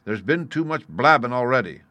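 Guy doing a gruff-mannered stern ship captain for an audiobook, blunt and forceful, no room echo. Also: mid-range voice, lively intonation, normal speed, pitched above the performer's own voice. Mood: firm, insistent. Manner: forceful, clipped, plain-spoken. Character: blunt, stern, uneasy ship's captain, a man. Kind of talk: audiobook character voice.

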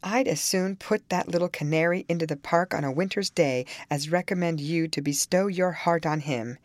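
A woman voicing a haughty, sharp-tongued young married lady in an audiobook of a classic novel, scolding emphatically. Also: low-pitched, normal speed, no echo, lightly acted. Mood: emphatic warning.

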